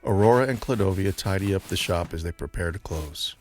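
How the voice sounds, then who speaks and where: A man narrates a scripted audio drama in a very deep voice. He reads scene descriptions as the narrator. There is faint noise and some clicking in the recording. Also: fairly quick, darkish tone.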